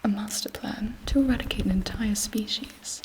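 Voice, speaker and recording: A woman playing an imperious, scheming, seductive vampire queen in an intimate ASMR roleplay, speaking in a deliberate, haughty whisper. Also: mid-range voice, darkish tone, close to an everyday voice, poor audio. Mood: scornful.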